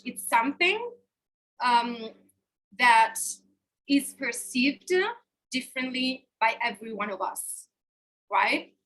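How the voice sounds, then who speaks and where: A woman's voice: a little high, low-quality recording. A woman in her thirties, a life coach, speaking openly and expressively to a live online workshop from a hotel room over a webcam. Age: 30s. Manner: expressive, open and personal.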